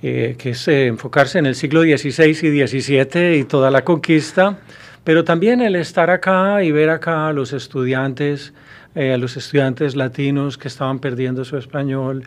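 A man in his fifties speaks with a Colombian Spanish accent as a professor being interviewed. He pauses often and restarts his sentences as he thinks. The sound is close and clean from a studio microphone. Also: mid-range voice. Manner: thoughtful, many hesitations and restarts. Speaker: university professor guest. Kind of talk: interview answers. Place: small studio with blue backdrop. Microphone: studio mic on boom arm.

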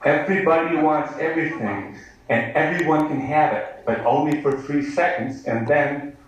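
A man's voice: fairly high pitch, moderate pace. An American man in his thirties reads poetry live in a sardonic, declamatory manner. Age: 30s. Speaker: poet. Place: indoor bar venue, live reading. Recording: echoey live bar recording, distant mic.

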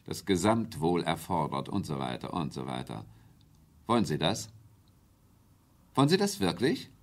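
An actor playing an embattled, sardonic prime minister in a German radio drama, speaking in ironic, rhetorical turns. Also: male voice, a bass voice, sing-song, normal speed, full character voice. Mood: incredulous, sardonic.

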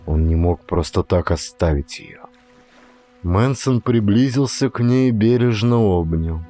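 A man narrates a suspense novel in a dramatic audiobook style. His voice sits on the low side. Music plays faintly behind him. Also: expressive, measured pace, warm tone. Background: background music.

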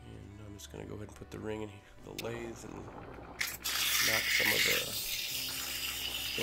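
A man with a head cold, casually talking through a workshop ring build in a relaxed way with fillers. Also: on the low side, poor audio. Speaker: maker showing a ring build. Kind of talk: casual workshop tutorial voice-over. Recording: voice-over with clear music behind.

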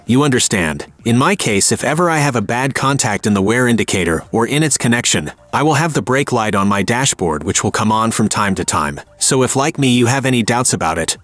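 Man calmly narrating a car repair. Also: medium pitch, no room sound. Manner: calm, step-by-step explaining. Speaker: car repair video host. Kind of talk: scripted how-to voice-over.

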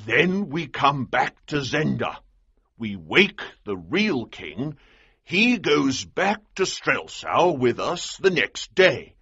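A man voicing a bold, commanding army colonel in an audiobook, with a husky voice and an urgent, emphatic delivery. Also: mid-range voice, big pitch swings, takes it slowly, sharp tone, no room sound, muffled recording, voice pushed up, full character voice. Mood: excited. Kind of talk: audiobook character dialogue.

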